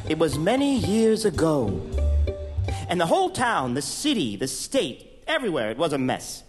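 An actor playing a flustered, excitable old tailor in a comic audio play, stammering and exasperated. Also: male voice, on the high side, normal speed, big-room sound, faint music behind. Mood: reminiscing. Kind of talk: comic audio theatre acting.

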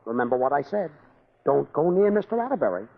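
An actor playing a mild, exasperated young husband in a 1940s radio comedy. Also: male voice, on the high side, sing-song, quick pace, vocal fry, exaggerated voice. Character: patient, exasperated young husband, a bank clerk. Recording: tinny, crackly old radio recording.

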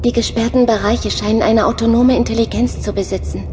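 A woman voicing a female onboard computer in an audio drama. She speaks softly and earnestly, lonely and longing to have a body. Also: on the high side, steady tone, fairly quick, a little hiss or hum, close to an everyday voice. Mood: troubled. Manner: soft-spoken, earnest, searching. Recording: music underscore behind voice.

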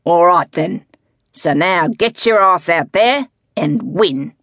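A woman's voice: lowish voice, expressive, unhurried, no room sound, studio quality. A woman voicing a middle-aged male cycling coach with a drawling, mocking manner. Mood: needling.

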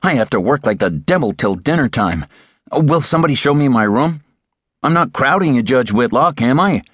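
A male narrator puts on an excitable, lovestruck young man who pleads and gushes. His voice jumps high and swoops widely, every line rushing with eagerness. It is recorded dry and close. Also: husky, no room sound. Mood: pleading. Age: young adult. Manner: breathless, pleading, gushing. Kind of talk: audiobook character voice.

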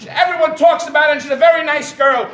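A man's voice: very high voice, fairly even intonation, fast, fairly bright tone. A man playing a comedian in a live vaudeville comedy routine, trading indignant wisecracks on stage. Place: live stage before an audience. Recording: echoey live stage recording.

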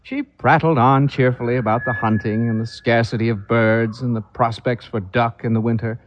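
A man's voice: medium pitch, steady tone, moderate pace. A man telling a ghost story as a dramatic scripted narrator. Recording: dull, muffled old record.

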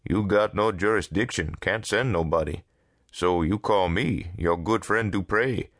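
A man voicing a gruff, weary rural man who talks bluntly in folksy broken grammar, in an audiobook. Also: deep voice, unhurried, fairly bright tone, no echo, clean studio sound, exaggerated voice. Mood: sardonic.

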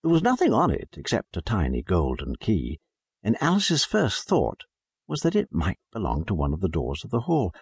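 A man narrating a children's storybook as a scripted audiobook, in an expressive storytelling manner. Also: fairly low pitch, moderate pace, slightly rough, no echo, studio quality.

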